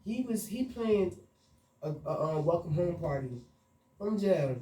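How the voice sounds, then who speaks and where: A man's voice: high voice, lively intonation, unhurried, roomy sound, recorded from a distance, poor audio. A young man, a party promoter speaking earnestly in a radio interview. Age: young adult. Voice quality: soft voice. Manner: earnest, frustrated storytelling with frequent fillers.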